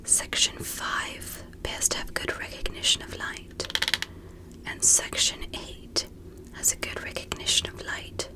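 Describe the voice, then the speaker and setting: A woman playing a curious, easily amazed alien doctor in an ASMR medical roleplay, whispering gentle, methodical step-by-step instructions. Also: measured pace, natural voice, rough recording. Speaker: ASMR roleplay performer as examiner.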